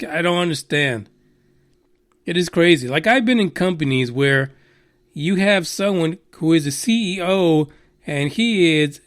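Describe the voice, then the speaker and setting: High-voiced ranting podcast host. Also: male voice, dry recording, studio quality. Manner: exasperated, profane, rambling. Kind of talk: casual solo podcast rant.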